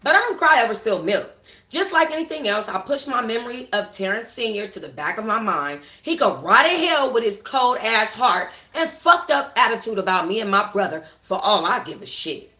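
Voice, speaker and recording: A woman's voice: on the high side, big pitch swings, brisk, sharp tone, reverberant. A woman narrating a first-person story for a book club in a dramatic, sassy, slangy manner.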